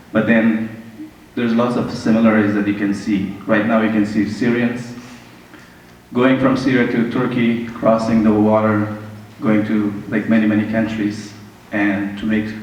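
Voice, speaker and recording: Flat, low man heard far off in a hall. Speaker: speaker sharing refugee story. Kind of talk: speech at an event.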